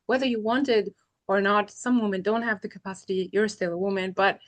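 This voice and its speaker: A woman's voice: mid-range voice, fairly even intonation, a little fast. Woman hosting a podcast chat. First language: Portuguese. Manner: thoughtful, rambling, peppered with "you know" and soft laughs. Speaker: podcast host. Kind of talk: casual conversational interview.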